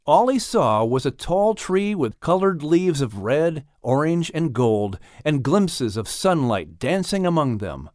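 A man narrating a children's audiobook in an expressive, dramatic and carefully phrased storytelling style. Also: a little high, unhurried, few pauses, no room sound, clean studio sound.

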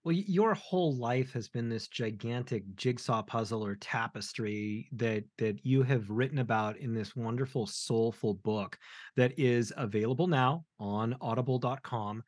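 A man's voice: medium pitch, moderate pace, darkish tone, dry recording, clean studio sound. A man hosting a podcast interview, asking encouraging open questions. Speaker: podcast host.

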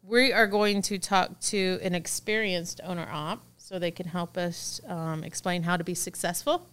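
A woman's voice: fairly low pitch, fairly even intonation, moderate pace, fairly bright tone, smooth. A middle-aged woman hosting a podcast in a chatty, casual way, laughing easily. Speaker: podcast host.

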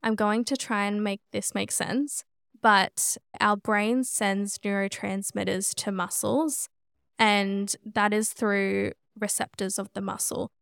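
A woman's voice: mid-range voice, little pitch movement, slow pace, creaky, fairly bright tone, smooth, dry recording, studio quality. A woman co-hosting a podcast, casually explaining things in the manner of a practitioner. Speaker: podcast co-host and cosmetic injector.

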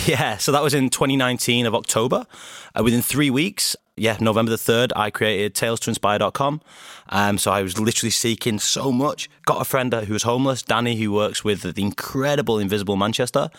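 A man from Bolton with a Bolton accent, a podcast guest telling stories in animated casual chat and acting out his own quotes. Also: mid-range voice, brisk, dry recording, clean studio sound. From Bolton, England.

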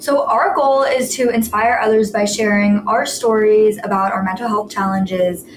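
Young woman, 18, teen podcast co-host; steady delivery; roomy, noisy recording. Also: medium pitch, normal speed.

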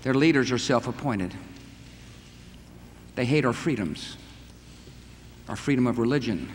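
A man delivers a declamatory speech at a middle pitch. His words ring out in a long, reverberant hall, and a faint noise runs underneath. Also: lively intonation, a little slow. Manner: declamatory political oratory. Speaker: speaker in an archival speech clip.

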